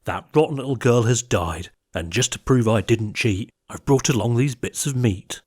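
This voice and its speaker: A man's voice: medium pitch, normal speed, a little mellow, husky, lightly acted. Man as a placating storybook huntsman. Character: soft-hearted huntsman, murmuring, eager to placate his queen.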